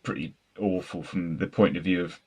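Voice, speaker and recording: A middle-aged man with a fairly low voice. He talks casually as a podcast host, wordy and meandering as he builds his point. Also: steady tone, normal speed, darkish tone, breathy edge. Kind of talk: casual film and game discussion.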